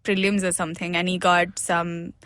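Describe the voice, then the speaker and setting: A woman's voice: lowish voice, flat delivery, normal speed, fairly bright tone, no room sound. A woman with an Indian accent talking casually as a comedian guest, in a slangy, joking, self-mocking manner. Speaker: guest, stand-up comedian and writer. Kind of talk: casual podcast chat.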